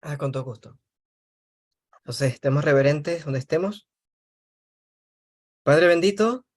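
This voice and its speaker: A man from Venezuela with a Venezuelan accent, a church elder chatting and then praying. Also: a little high. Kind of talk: casual introduction and spoken prayer.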